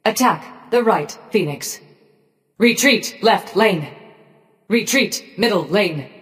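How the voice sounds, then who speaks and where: A woman voicing a cold, menacing robotic gorgon in a video game, giving short commands in a measured, detached, machine-like way. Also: mid-range voice, lively intonation, big-room sound. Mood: cold, commanding. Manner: slow, measured, emotionally detached machine-like delivery. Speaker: game character voice pack.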